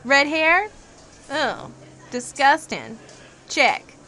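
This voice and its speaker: An actress playing a flippant, zealous asylum inmate in an audio drama, chatty, sassy and theatrical, with slangy sarcasm. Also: female voice, sits high, big pitch swings, very slow, bright, forceful tone, exaggerated voice.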